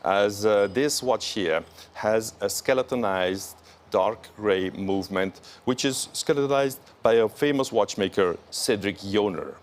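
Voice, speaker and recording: Low-voiced man presenting luxury watches. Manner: careful, admiring, pauses between phrases. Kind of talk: live show product walkthrough.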